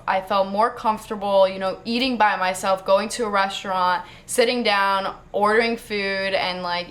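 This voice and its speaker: A young American woman telling a travel story to camera in a chatty, casual way, full of fillers and quick laughs. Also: medium pitch, a bit bright. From United States. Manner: chatty, fast, lots of "like", laughs often. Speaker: travel vlogger.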